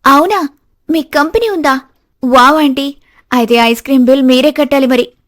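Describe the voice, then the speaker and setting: A woman voicing an eager, helpful and chatty young woman in an animated story. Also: high-pitched, moderate pace, voice pushed up. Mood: bright, friendly. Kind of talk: animated moral story dialogue.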